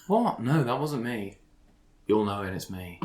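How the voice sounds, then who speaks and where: A man's voice: on the low side, big pitch swings, measured pace, darkish tone. A man voicing an awkward, literal-minded office accountant, hesitant, precise and apologetic as he stammers along. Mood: defensive, puzzled.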